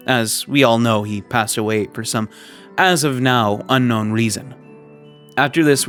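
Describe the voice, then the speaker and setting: A man hosting a video essay, reading scripted commentary in a measured, wry way and stretching words for emphasis. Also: medium pitch, a bit bright. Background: background music.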